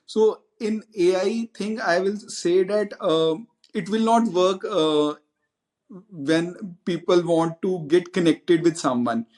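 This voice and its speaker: A man's voice: high voice, slow pace, a little mellow. A man speaking hesitantly as a panel guest, with many fillers. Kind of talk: panel discussion.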